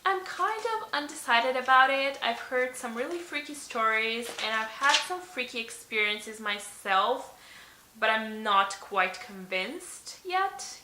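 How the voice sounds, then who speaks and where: Young woman, Slovene native speaker, bright voice, chatty, lots of ums, echoey bedroom. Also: on the high side. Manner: chatty, many ums and likes, laughs often. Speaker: beauty vlogger. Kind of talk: casual tag video chat. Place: bedroom, indoors.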